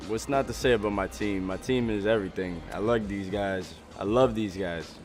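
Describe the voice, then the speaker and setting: A young college athlete speaks with an upbeat tone, dropping small uhs between thoughts. His voice runs a little low. Faint music plays behind. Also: moderate pace. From Delaware. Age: young adult. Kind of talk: recorded player interview. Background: music bed.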